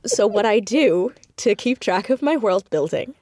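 Animated woman chatting, dry close sound. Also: medium pitch, a little slow, no echo. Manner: animated, laughs often, self-deprecating. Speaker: podcast guest, audio drama creator and writer. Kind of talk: casual interview chat.

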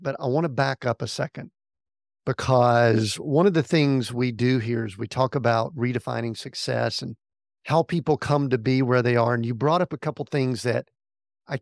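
A man hosting a podcast, asking his guest questions in a deliberate way, slowing down and restarting his phrases. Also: medium pitch, gravelly, no room sound, clean studio sound. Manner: deliberate, slow in places, with restarts. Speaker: podcast host. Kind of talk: interview questions.

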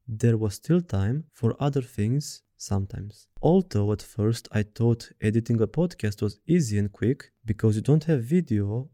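A man with a Romanian accent hosting a podcast, reading a word-for-word script in an even, deliberate way. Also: fairly low pitch, dry recording, studio quality. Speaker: podcast host.

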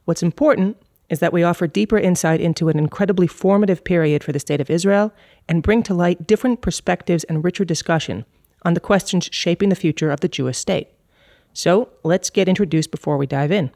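A woman's voice: lowish voice, a little fast, dark tone, dry recording, studio quality. A woman hosting a podcast, with a polished, articulate delivery. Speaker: podcast host. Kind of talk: scripted podcast intro and moderating.

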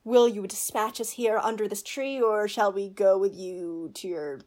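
A woman's voice: medium pitch, fairly even intonation, measured pace, a little mellow, close to an everyday voice. A woman playing a wordy, pompous male court fool in a Shakespearean radio play, with a theatrical, mock-learned manner. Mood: grandiose.

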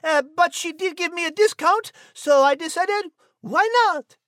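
A woman's voice: very high voice, measured pace, fairly bright tone, no room sound, clean studio sound. A woman voicing a chic female cat with a French accent, breezy in manner.

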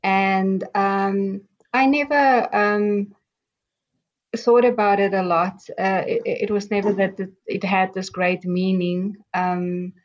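A middle-aged woman from South Africa, a native Afrikaans speaker with a South African accent, slowly telling her life story as a guest, with many pauses and ums. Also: medium pitch, fairly even intonation. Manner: slow, halting, many ums and restarts. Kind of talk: reflective personal storytelling. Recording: dull lo-fi sound.

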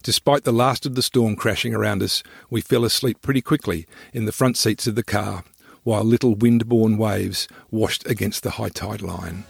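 A man narrating his own scripted travel memoir in a measured, descriptive storytelling manner. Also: on the low side, steady tone, gravelly, breathy edge, no room sound.

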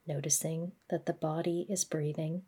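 A woman gently guiding a body scan meditation, speaking in a measured, instructional way. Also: low-pitched, steady tone, husky. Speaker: mindfulness practice guide.

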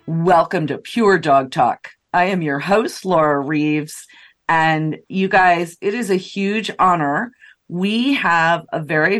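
A woman hosting a dog podcast, talking in an effusive, emphatic way and laughing often. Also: on the low side. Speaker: podcast host. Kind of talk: enthusiastic podcast chat.

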